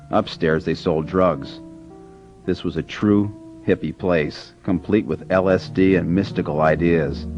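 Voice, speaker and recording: A man's voice: low voice, darkish tone. An actor playing a young man who recounts his days as a teen drug dealer in a radio drama, earnest and confessional. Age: young adult. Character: teenage drug dealer telling his past. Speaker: narrator. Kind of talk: radio drama narration and acting. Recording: dull radio broadcast with music. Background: music.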